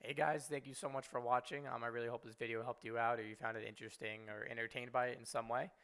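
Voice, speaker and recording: A man's voice: medium pitch, flat delivery, quick pace, fairly bright tone. A man hosting a video game guide, giving informal, wry walkthrough commentary.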